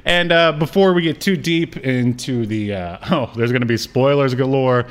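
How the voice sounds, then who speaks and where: A man with a mid-pitched voice co-hosts a casual podcast. He chats informally and keeps slipping in wry, joking asides. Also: normal speed. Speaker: podcast co-host. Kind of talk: casual chat about a nineties TV show.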